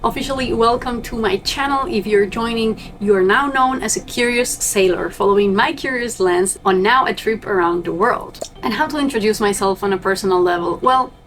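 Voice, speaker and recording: A woman's voice: medium pitch, fairly quick, hardly any pauses, a bit bright, soft background music, low-quality recording. A young woman from Argentina, a native Spanish speaker, chatting upbeat and direct to her viewers as a cruise ship crew member vlogging. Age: young adult. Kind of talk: casual vlog to camera.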